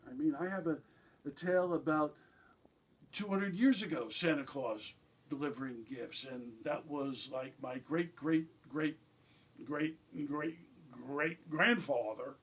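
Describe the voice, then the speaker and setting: Man playing a husky, kindly storytelling Santa Claus. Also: on the high side, a little slow. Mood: kindly, folksy. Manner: gentle, folksy, explaining. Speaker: guest reader at a benefit concert. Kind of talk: storybook reading with chatty asides to children.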